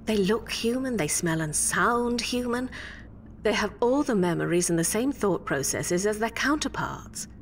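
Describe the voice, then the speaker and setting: An actress playing a frustrated, clinical official in a radio drama. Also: female voice, mid-range voice, lively intonation, a little slow, distant mic, a little hiss or hum. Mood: puzzled, frustrated. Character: frustrated, clinical female official at secret project.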